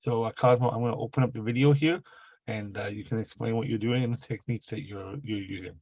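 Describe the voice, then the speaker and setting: A man with a cold and a gravelly voice hosting a live online workshop over a video call, halting and full of fillers and restarts. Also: lowish voice, steady tone, a little mellow. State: has a cold. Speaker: webinar host.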